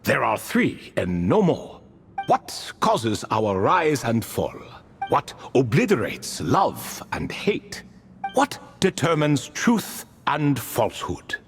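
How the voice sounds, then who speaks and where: A man's voice: medium pitch, wildly swooping pitch, slow, faint music behind, heavily acted. A man voicing an ancient, talkative ibis king spirit in a cat's body for a video game, theatrical, courtly and nostalgic in his storytelling. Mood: amused, grand. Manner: theatrical, courtly, long-winded storytelling with rhetorical tag questions. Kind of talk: video game character dialogue.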